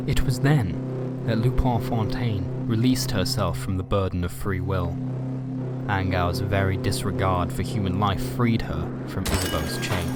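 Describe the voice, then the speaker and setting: A man narrating a scripted fantasy story in a measured, dramatic way with wry asides. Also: sits low. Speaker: storyteller, narrator of a fiction podcast.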